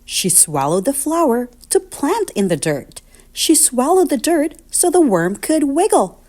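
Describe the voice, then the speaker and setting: A woman reading a children's picture book aloud in an expressive, deliberate, sing-song storytelling manner. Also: sits high.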